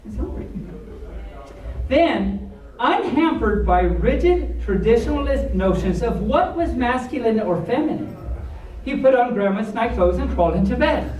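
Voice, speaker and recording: A storyteller of unclear sex reading a bedtime story aloud to a small audience in an animated style. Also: medium pitch, a little slow. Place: echoey room at a convention. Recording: echoey room, camera mic far off.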